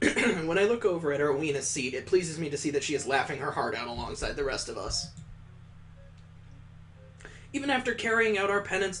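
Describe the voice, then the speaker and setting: Higher-voiced man narrating a game theatrically. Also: slight background noise. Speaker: gameplay host reading a visual novel aloud. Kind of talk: dramatic storybook-like narration of game text. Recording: music behind the voice.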